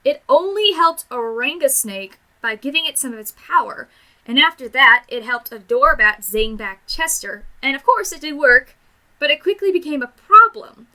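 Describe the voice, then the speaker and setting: A woman's voice: high voice, animated, normal speed, pushed and bright, poor audio. A woman giving an enthusiastic scripted video review in a gushing, emphatic, casual way.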